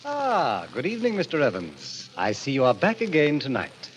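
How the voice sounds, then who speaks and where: An actor playing a suave, sinister club president, speaking with formal, urbane condescension in a 1940s radio mystery. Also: male voice, mid-range voice, huge pitch swings, normal speed, rough recording. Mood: smooth, amused. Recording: old radio recording, faint noise.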